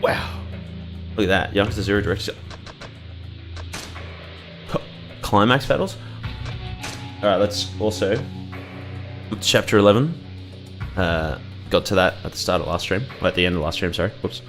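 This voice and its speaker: A man's voice: low-pitched, normal speed, pauses often, a bit bright, soft background music. A man streaming a game, talking casually and rambling, with sarcastic jokes between his comments. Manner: joking, rambling, sarcastic asides. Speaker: gaming streamer.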